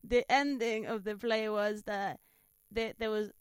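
A woman from Spain with a Spanish accent, chatting casually as a comedian guest, animated and self-deprecating. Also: medium pitch, a little slow, smooth, no room sound, studio quality. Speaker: stand-up comedian, interview guest. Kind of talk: casual chat, telling anecdotes.

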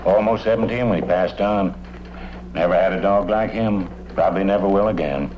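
An actor playing a talkative, plain-spoken police detective sidekick in an old-time radio drama. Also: male voice, sits low, a little fast, close to an everyday voice. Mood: rueful, nostalgic. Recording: dull, hissy old radio recording.

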